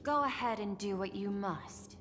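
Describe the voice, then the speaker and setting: A woman playing a composed, calculating chief executive in a video game, speaking in a formal, measured way. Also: mid-range voice, fairly bright tone, lightly acted. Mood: authoritative. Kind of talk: dramatic video game voice acting. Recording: long hall reverb, music behind.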